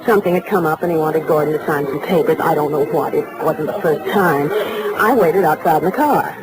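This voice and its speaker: An actress with an American accent playing a hesitant, coy, evasive widow in a 1940s radio detective drama. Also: female voice, low voice, fairly quick, over a noisy crowd, close to an everyday voice. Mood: flustered, coy. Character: flustered, evasive newly widowed American woman client. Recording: noisy old-time radio recording.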